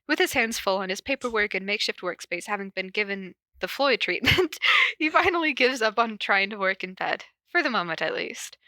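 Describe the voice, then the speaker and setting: A woman's voice: mid-range voice, lively intonation, fairly quick, pushed and bright, no room sound. A woman podcast host casually reading fan fiction aloud and chatting, with a giggly, informal manner and frequent asides. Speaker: podcast host and podfic reader. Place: corner of a basement at home.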